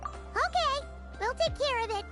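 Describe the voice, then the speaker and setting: Super high squeaky little fairy guide, bouncy game cutscene voice, perky and boastful, swoops all over, music behind. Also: female voice, lively intonation, measured pace. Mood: eager, proud. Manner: perky, boastful, refers to self in third person. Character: tiny, excitable childlike fairy travel guide. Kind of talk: video game cutscene acting. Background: background music.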